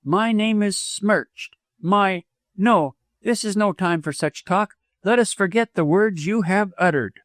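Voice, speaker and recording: A proud young widow played by a male reader in a dramatized audiobook. The pitch is lifted well up, and the delivery is emphatic, wilful and quick-tempered. The sound is dry and close. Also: fairly bright tone, no room sound. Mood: resolute, agitated. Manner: emphatic, imperious.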